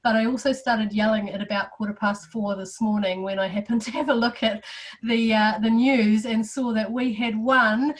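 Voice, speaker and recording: A woman hosts an interview with an animated, quick way of talking, and her voice sounds slightly croaky from a flu. She sits a little distant from the mic. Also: on the high side. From New Zealand. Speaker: interview host.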